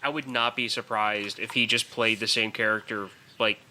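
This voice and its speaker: Very bright, forceful-voiced man chatting. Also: on the low side, steady tone, normal speed. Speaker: podcast co-host. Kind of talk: casual chat about films.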